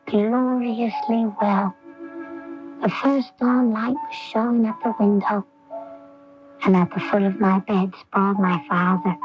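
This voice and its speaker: An actress playing an earnest, intense young daughter in a 1980s radio drama, with deliberate, theatrical phrasing. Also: female voice, mid-range voice, natural voice. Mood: rapturous, grateful. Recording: dull, dry AM radio recording.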